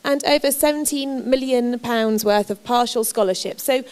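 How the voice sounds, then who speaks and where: A woman's voice: on the high side, moderate pace, darkish tone. A woman from Wales giving a chatty, reassuring presentation about her university to prospective students, with frequent ums. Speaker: university representative. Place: lecture or seminar room.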